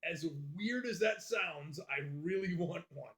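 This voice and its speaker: A man's voice: high-pitched, expressive, unhurried, a bit bright. A man hosting a live stream, chatting loosely with viewers and swearing freely as he answers their comments. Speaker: livestream host.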